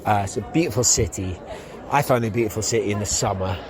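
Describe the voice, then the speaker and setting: A man's voice: on the low side, fast talker, crowd noise. A young man, a podcast host, chatting casually in a rambling way with lots of ums while walking outdoors through a city. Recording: outdoor street noise. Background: street and traffic noise.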